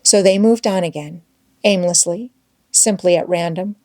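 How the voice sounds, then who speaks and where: A woman reading a classic novel aloud as an audiobook narrator, with expressive, dramatic delivery. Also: on the low side, moderate pace, no room sound, studio quality. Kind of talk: scripted storytelling narration.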